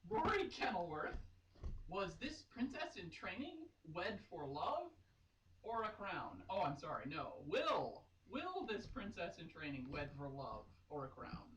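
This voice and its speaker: A woman's voice: fairly low pitch, sing-song, a little slow. A woman giving a mock-dramatic read-aloud with casual, giggly, exclaiming asides. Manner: giggly, exclaiming, playfully theatrical. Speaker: reader performing a dramatic reading of a romance novel. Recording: echoey room, distant mic, faint noise.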